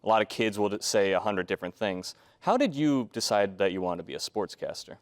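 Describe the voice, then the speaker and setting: A man's voice: on the low side, brisk. A young man hosting an interview, reading formal questions in an earnest, slightly nervous way. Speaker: host, student interviewer.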